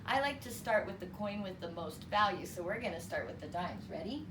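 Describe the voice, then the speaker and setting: Female children's story reader, very expressive and unhurried, teacherly; recorded at a distance from the mic with noticeable noise. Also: medium pitch. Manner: animated, deliberate, teacherly delivery for children. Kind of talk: expressive read-aloud storytelling with counting lesson. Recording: roomy, distant mic with clear background noise.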